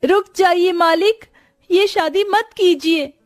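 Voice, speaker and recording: A woman's voice: extremely high pitch, slow, no room sound, studio quality, soft background music, voice pushed up. A woman voicing a loyal, anxious young servant woman in a moral story, earnest and deferential. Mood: urgent pleading.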